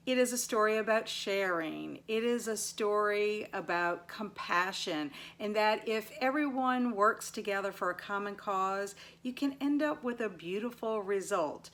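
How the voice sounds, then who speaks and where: Slow-paced woman reviewing books. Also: medium pitch, a bit bright. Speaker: book reviewer and readathon host; teacher. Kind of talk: casual book review vlog, recapping and summarizing stories.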